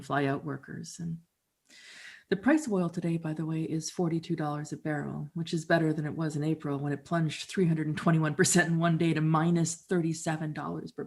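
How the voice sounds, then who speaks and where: A middle-aged woman from Canada, performing a theatrical storytelling monologue in a wry, conversational, expressive way. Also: low voice.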